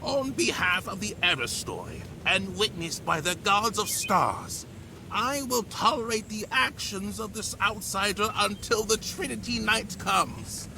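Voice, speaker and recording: A condescending male official in a fantasy game. His voice is high and highly animated, yet he takes his time with formal, stately phrasing. A clear rumbling noise sits behind him. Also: unhurried, exaggerated voice. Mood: solemn warning. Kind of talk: dramatic game cutscene acting.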